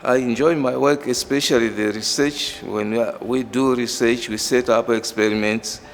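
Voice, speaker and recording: A middle-aged man with a Malawian accent, a professor explaining work and background in a measured, halting way. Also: mid-range voice, steady tone, a little hiss or hum. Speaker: engineering professor. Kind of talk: interview monologue explaining own work and background. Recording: reverberant hall, music underneath. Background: background music.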